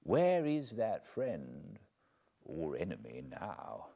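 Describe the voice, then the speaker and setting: A British man reading a classic novel aloud as an audiobook narrator, in a measured, expressive storytelling manner. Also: sits low, gravelly. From Britain. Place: cozy spot by a fireplace at home.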